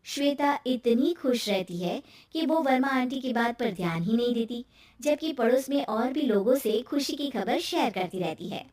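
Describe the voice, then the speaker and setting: Brief: female story narrator, very high voice, scripted delivery, recorded at some distance from the mic. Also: animated, moderate pace, darkish tone, reverberant.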